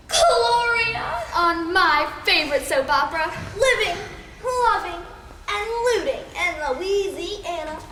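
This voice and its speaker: A young female performer playing catty, giddy soap opera fanatics in an exaggerated comedic school skit. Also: very high-pitched. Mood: giddy, excited. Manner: exaggerated, theatrical. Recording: echoey hall, faint noise.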